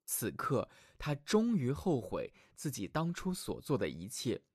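Animated male fairy-tale storyteller. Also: mid-range voice, measured pace, breathy edge, no room sound, studio quality. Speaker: children's storyteller, show host. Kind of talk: expressive scripted fairy-tale narration.

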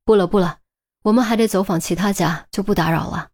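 A woman's voice: medium pitch, fast, a little mellow, natural voice. A woman voicing a firm senior policewoman in an audiobook, with an authoritative, no-nonsense manner. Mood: polite. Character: firm, brisk senior policewoman, adult, exasperated mentor.

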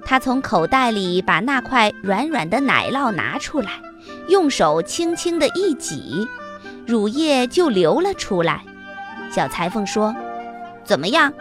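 A woman narrating a scripted fairy tale for children as the host, with expressive, deliberate storytelling. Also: fairly high pitch.

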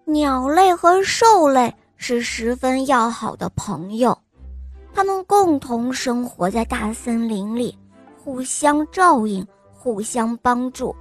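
A young woman hosting a children's bedtime story show, narrating in a sweet, sing-song, gently coaxing manner. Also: high-pitched, animated, slow pace, soft background music.

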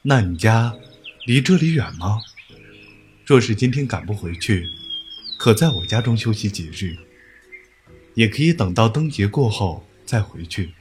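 A man playing a gentle young scholar of old times in an audio drama, speaking in a formal, literary and lightly amused way. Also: lowish voice, animated, unhurried, long pauses, faint music behind. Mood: courteous. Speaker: voice actor. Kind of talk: period audio drama acting.

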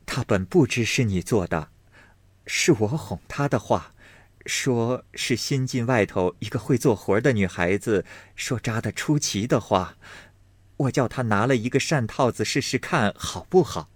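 A man voicing a gentle young maid in an audiobook reading of a classic novel, speaking softly and mildly. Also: medium pitch, normal speed, slightly rough, no echo, natural voice. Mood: mild, coaxing. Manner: soft, hushed, mild.

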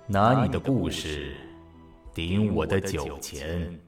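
Distant and echoey, a man voices a mysterious bartender who serves gods. He is serene and hospitable, with a low leisurely drawl, in radio-drama style. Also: animated, slow pace. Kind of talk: Mandarin audio drama acting. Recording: echoey, distant voice over music.

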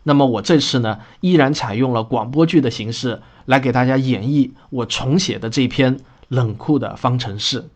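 A man speaking as a podcast host, explaining in an earnest, deliberate monologue. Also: mid-range voice. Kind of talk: spoken introduction, explanatory monologue.

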